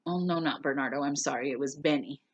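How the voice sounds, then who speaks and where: Low voiced lady teacher doing a class read aloud, simple explaining, no room sound. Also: fast talker, no room sound, dull audio. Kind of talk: casual spoken recap and story read-aloud for students.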